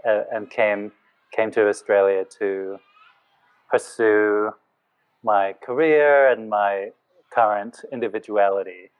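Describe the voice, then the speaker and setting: A man's voice: fairly low pitch, fairly even intonation, takes it slowly, smooth. A man from Malaysia, a guest talking in a reflective, hesitant way. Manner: hesitant, many fillers and restarts, reflective. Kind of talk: casual podcast chat. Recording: phone-like narrow sound.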